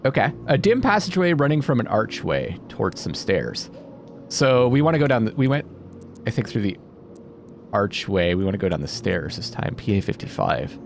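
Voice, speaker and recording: A man giving let's-play commentary, reading game text aloud dramatically and thinking out loud as he plays. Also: on the low side, sing-song, normal speed, gravelly. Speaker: video game streamer playing a narrative game. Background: music behind the voice.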